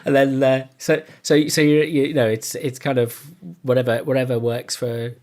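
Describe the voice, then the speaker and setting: A man with a medium-pitched voice chats as a podcast host. He talks in a loose, rambling manner, circling back over phrases as he feels his way through a thought. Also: level tone, moderate pace, a little mellow, slight background noise. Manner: rambling, tentative, often repeats phrases. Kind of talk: casual interview chat.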